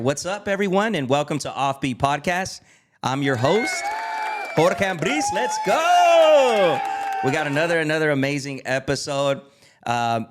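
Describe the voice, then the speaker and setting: A man's voice: mid-range voice, noisy background. A man hosting a podcast, enthusiastic and chatty, with many ums and restarts. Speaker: podcast host. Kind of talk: casual chat.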